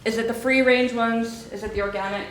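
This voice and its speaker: A woman's voice: on the high side, fairly even intonation, fairly quick, a little hiss or hum. A young woman from Mexico with an American-sounding accent, giving a chatty, self-deprecating conference talk. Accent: American-sounding English. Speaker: conference speaker, PhD researcher. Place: conference hall. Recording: echoey hall, distant mic.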